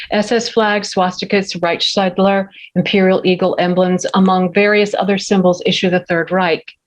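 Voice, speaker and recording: A woman reading scene headings and action lines as narrator at a script table read. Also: mid-range voice. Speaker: stage direction narrator.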